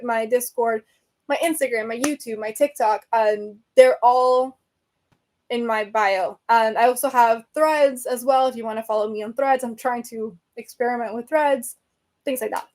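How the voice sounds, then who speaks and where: Chatty, rambling woman with a raised pitch. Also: a little mellow. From Venezuela. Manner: chatty and rambling, many fillers and self-corrections. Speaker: host of a book podcast. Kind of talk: casual live-stream chat answering viewer comments.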